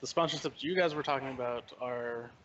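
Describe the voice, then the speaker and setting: A man's voice: medium pitch, brisk, lo-fi sound, a little hiss or hum. A man who owns an esports team, giving brief, hesitant answers as a podcast guest. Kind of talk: casual podcast chat.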